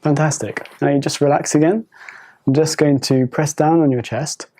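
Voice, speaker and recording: A woman doctor examining a patient, giving soft, polite, reassuring instructions. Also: low voice, fairly even intonation, normal speed. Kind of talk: gentle clinical instructions.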